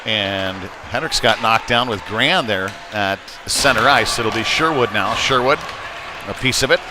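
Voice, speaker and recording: A man's voice: fairly low pitch, a little slow, crowd noise. A man doing live hockey play-by-play in a folksy, chatty way that lifts with the action. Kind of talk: live sports commentary with casual asides. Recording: noisy live rink ambience.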